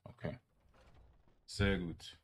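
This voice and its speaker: A man giving casual, halting game commentary, rambling as he thinks aloud. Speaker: let's player. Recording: game music behind the voice. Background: video game music.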